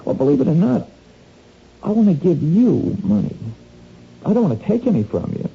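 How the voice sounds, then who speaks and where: An actor playing a sly small-time crook in a radio drama, wheedling and insinuating in folksy, slangy talk. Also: male voice, high voice, huge pitch swings, moderate pace, vocal fry, breathy edge, heavily acted. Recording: dull, noisy lo-fi radio recording.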